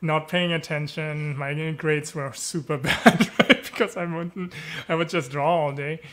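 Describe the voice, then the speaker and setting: An easygoing man from Germany, German his first language, chats over a headset from home on a video call. His voice sits a little high for a man, and he laughs and says "right?" often. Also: fairly even intonation, unhurried, sharp tone. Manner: easygoing, chuckles often, many fillers and tag questions. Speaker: guest. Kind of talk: casual interview chat.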